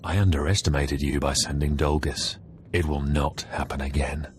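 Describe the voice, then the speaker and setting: A man's voice: very deep voice, steady tone, normal speed, slight background noise. A man playing an arrogant rival acolyte in a video game, haughty and threatening. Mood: menacing. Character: menacing, arrogant male rival Sith acolyte. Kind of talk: video game cutscene acting.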